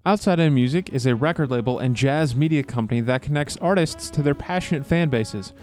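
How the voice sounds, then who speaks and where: A man's voice: medium pitch, brisk, a little mellow, faint music behind. A man hosting a podcast and interviewing a musician in a friendly, chatty way. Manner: friendly, chatty, laughs easily. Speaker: podcast host. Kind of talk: conversational interview.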